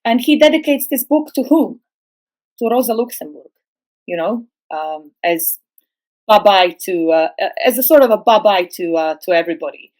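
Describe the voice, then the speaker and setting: A middle-aged woman who grew up speaking Serbo-Croatian, a guest philosopher on a podcast, speaking slowly and hesitantly with many fillers and restarts. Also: medium pitch, lively intonation, a little mellow, poor audio. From former Yugoslavia. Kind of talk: academic podcast discussion.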